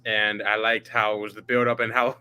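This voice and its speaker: Bright lowish guy, podcast guest, rambles on easy, little laughs. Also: fairly quick. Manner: relaxed, rambling opinions with small laughs. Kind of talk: casual chat.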